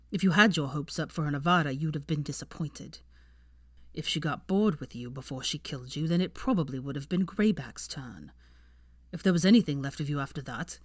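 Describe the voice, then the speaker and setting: Woman doing a bitter teen wizard boy in an audiobook, cold and defensive, lowish pitch, dry sound. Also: dry recording. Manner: profane, bitter, arguing in long bursts. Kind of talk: fan fiction audiobook character dialogue.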